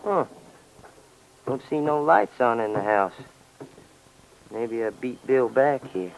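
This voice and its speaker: An actor playing a menacing, commanding armed fugitive from swamp country in a folksy 1950s radio crime drama, on an old broadcast recording. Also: male voice, medium pitch, lively intonation, slow pace, vocal fry, poor audio. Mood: gruff, watchful.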